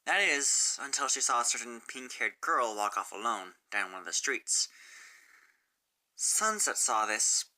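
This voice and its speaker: A woman's voice: extremely low pitch, lively intonation, a little slow, sharp tone, poor audio. A woman reading fan fiction aloud as a narrator, in a dramatic storytelling style.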